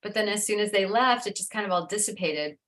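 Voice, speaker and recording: A middle-aged woman talks in a relaxed, reflective way, her voice sitting at an ordinary middle pitch. She sounds earnest and thoughtful, now and then breaking into light laughter. It is a casual conversation over a video call. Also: fast talker. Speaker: author being interviewed.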